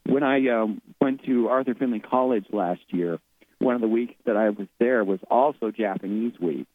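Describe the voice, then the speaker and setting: A man's voice: fairly low pitch, normal speed, no echo. A man who is a guest on a radio interview speaks reflectively and often repeats words while he searches for the next ones. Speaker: guest, author and medium. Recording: tinny phone line.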